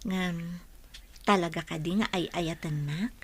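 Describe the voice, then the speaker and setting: Low-toned, coy young Ilocano woman, acted. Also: unhurried, husky. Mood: doubting. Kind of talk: radio drama acting.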